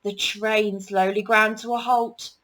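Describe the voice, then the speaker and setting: A woman reading a children's picture book aloud as a storyteller, with deliberate, dramatic emphasis. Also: medium pitch, flat delivery, fairly bright tone.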